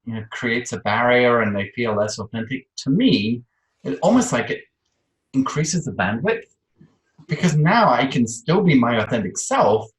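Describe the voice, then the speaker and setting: A man's voice: medium pitch, sing-song, moderate pace. A man with a British accent telling personal stories conversationally as an interview guest. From Isle of Man, UK. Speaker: interview guest, social media expert. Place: online video call in a room. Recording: roomy, echoey video-call sound.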